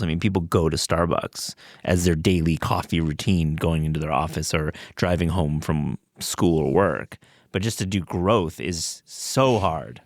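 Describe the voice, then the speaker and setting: A man hosting a podcast, talking casually and thinking aloud in a measured way. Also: low voice, dry recording, studio quality. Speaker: podcast host.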